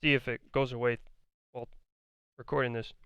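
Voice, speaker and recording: A man giving blunt, argumentative, profane commentary, repeating his points, his voice going hoarse. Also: medium pitch, flat delivery, a little fast, a bit bright, no echo, highs cut off. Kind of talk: ranting commentary on a debate.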